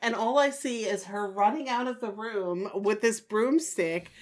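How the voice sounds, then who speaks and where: A woman co-hosting a podcast in casual chat, excitable and quick to laugh. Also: mid-range voice, low-quality recording. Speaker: podcast co-host.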